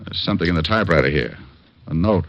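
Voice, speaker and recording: An actor playing a wisecracking private detective who narrates, in a 1950s radio drama. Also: male voice, sits low, animated, fast talker, creaky, a bit bright, no echo, exaggerated voice. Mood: wry. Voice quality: wry, dry wisecracking. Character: wisecracking battered private eye. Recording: dull old radio transcription.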